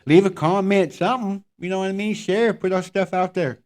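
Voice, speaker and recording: A man's voice: sits high, brisk, darkish tone. A man chatting casually as a podcast co-host. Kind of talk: casual chat.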